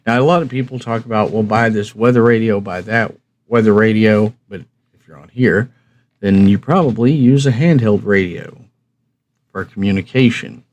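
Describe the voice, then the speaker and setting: Low-pitched man chatting on a livestream. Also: a little mellow. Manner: folksy, conversational, asks himself questions and answers them. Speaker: host of a radio hobby live stream. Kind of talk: casual instructional livestream talk.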